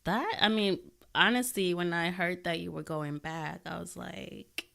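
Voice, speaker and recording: A woman hosts a casual podcast chat, speaking with a somewhat low voice. She talks with the guest in a friendly, familiar way and keeps affirming what she hears. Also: fairly even intonation, a little slow, gravelly, pushed and bright. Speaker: podcast host. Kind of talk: casual conversational interview.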